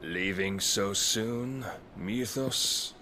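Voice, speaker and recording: A man's voice: fairly low pitch, drawn-out words, slight background noise, exaggerated voice. An actor playing an imperious, devout warrior king in a fantasy game, solemn and condescending. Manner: solemn, commanding, archly condescending. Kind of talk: dramatic fantasy game acting. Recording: loud orchestral music behind.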